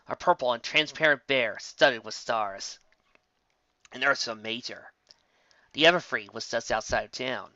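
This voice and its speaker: Lively storytelling narrator, bright voice, dry muffled home recording. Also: medium pitch, no room sound. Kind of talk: fan fiction reading.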